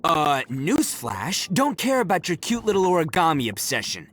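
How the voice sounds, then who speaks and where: A man's voice: on the high side, wildly swooping pitch, normal speed, a little hiss or hum. A man playing a brash, good-hearted young brawler hero in a video game, cocky, informal and exclamatory. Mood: impatient, cocky. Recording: loud game music behind. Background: game music.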